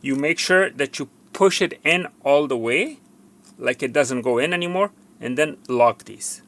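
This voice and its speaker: Male tutorial host, creaky forceful voice, walking through a repair step by step with repeated cautions. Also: mid-range voice, a little slow. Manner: instructive, repetitive, cautioning. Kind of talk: unscripted hands-on repair demonstration.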